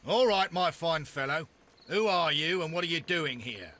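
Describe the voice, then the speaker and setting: An impatient Victorian police inspector for a video game. His voice is fairly high and very sharp, with a curt, commanding delivery. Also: animated, moderate pace, a little hiss or hum. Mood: stern. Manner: curt, authoritative. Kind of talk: video game cutscene acting.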